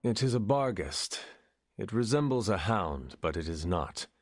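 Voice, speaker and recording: An actor playing a solemn, earnest resurrected demon hunter in a video game, speaking formally and with measured phrasing. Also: male voice, on the low side, expressive, no room sound. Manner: formal, measured, avoids contractions. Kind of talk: video game cutscene acting.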